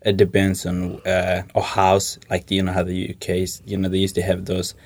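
A young man speaks in a low register with little melodic movement. He sounds like an interview guest thinking aloud, hesitating and circling back to rephrase his answers in informal English. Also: completely flat delivery, moderate pace, a little mellow. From Somalia. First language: Somali. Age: 20s.

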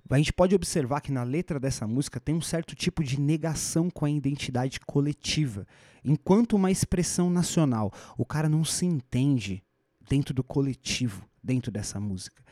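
Mellow-voiced Brazilian man explaining history. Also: a little high, no room sound, studio quality. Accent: Brazilian Portuguese. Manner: thoughtful, restarts phrases, rhetorical asides. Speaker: podcast host.

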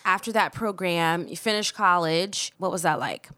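A woman's voice: on the low side, normal speed, fairly bright tone. A woman hosting a podcast, bubbly and encouraging as she asks questions and backs up her guest. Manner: bubbly, encouraging, lots of backchannel. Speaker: podcast host. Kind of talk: casual podcast interview.

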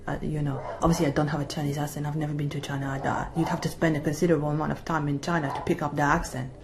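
A woman explaining her own situation in a soft, plain manner in a recorded clip. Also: sits low, flat delivery, very fast.

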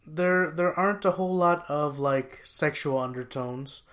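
A man's voice: fairly high pitch, unhurried, a bit bright. Hesitant man co-hosting a casual podcast. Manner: hesitant, restarts often, searches for words. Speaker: podcast co-host. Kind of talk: casual chat counting down songs.

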